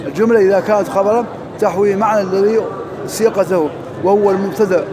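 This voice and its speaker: A man teaching Arabic grammar in a mosque, drilling through examples quickly and reciting verses slowly. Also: high-pitched, fairly even intonation, crowd noise. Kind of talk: lesson parsing grammar and verse.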